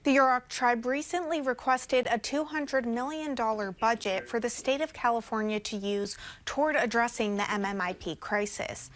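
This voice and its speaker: An American woman reads scripted television news as a reporter. Her voice sits a little higher than most women's, and she keeps to a narrated report style. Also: moderate pace, few pauses.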